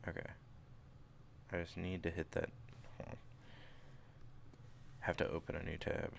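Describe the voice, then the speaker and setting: A man's voice: deep voice, completely flat delivery, measured pace, creaky, a little hiss or hum. A sniffly man in his thirties, thinking aloud as he plans a tabletop game setting live from a small home room. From St. Louis area, USA. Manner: rambling, thinking aloud, self-interrupting. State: sniffly from a cold. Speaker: streamer. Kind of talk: casual livestream worldbuilding. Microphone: desk microphone in front, webcam.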